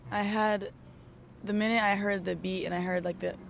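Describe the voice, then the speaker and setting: A young woman from Okinawa, a singer-songwriter, answering interview questions in a relaxed, chatty way with lots of "like"s. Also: mid-range voice, normal speed, vocal fry. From Okinawa, Japan. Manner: relaxed, chatty, laughs often, many "like"s. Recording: street noise behind.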